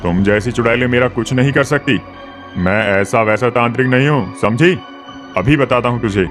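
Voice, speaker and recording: A man's voice: mid-range voice, normal speed, soft background music, natural voice. A man voicing a boastful, menacing, power-hungry sorcerer in a cartoon story. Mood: scornful. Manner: boastful, threatening. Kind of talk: Hindi cartoon horror-story voice acting.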